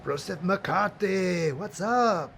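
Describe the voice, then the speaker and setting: A man's voice: high-pitched, animated, slow, creaky, a bit bright, a little hiss or hum, full character voice. A man playing Death as a brash, glib, freeloading cousin who rambles in bro talk in an audio comedy drama. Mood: breezy, cocky.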